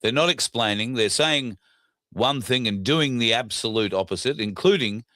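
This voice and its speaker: An Australian man with a scratchy, hoarse throat, hosting a casual solo talk show in a blunt, indignant way. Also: mid-range voice, normal speed, pushed and bright, no room sound. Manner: blunt, indignant, frequent uh fillers. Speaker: podcast host.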